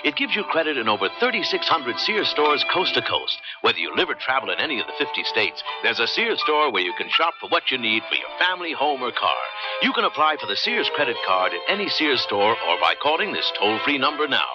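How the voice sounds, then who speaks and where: A male commercial announcer reads a radio ad with lively, animated pitch. Loud music plays under him, and the vintage recording is dull. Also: a little fast. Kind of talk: scripted radio advertisement.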